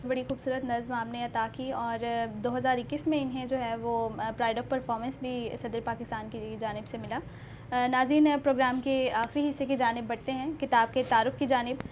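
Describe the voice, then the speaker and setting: A woman hosting a literary talk show, speaking politely and in measured phrases. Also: fairly high pitch, fairly even intonation. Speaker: host. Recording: clearly noisy background ambience.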